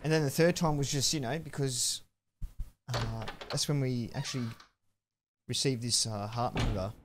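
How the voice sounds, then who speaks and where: Australian man, thirties, game streamer, casual chat, headset mic, mid-pitched, faint background hum. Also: normal speed. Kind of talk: casual live-stream chat while gaming.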